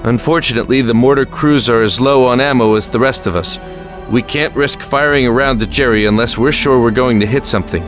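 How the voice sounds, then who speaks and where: A man plays a steadfast military officer in an audio drama. His mid-pitched voice is firm and rousing, like a commander rallying troops, and music runs clearly beneath it. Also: brisk, natural voice. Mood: grim. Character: resolute, rousing male Allied airborne officer. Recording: music under the voice.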